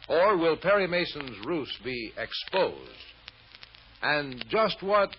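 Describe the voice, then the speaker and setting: A man's voice: on the high side, very animated, slow. A man narrating as a radio serial announcer in old-time style, posing teasing questions with theatrical suspense. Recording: dull old radio recording.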